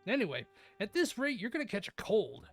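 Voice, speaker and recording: A woman streaming a visual novel read-aloud, mixing expressive narration with casual, playful chat. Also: medium pitch, fairly quick, faint music behind.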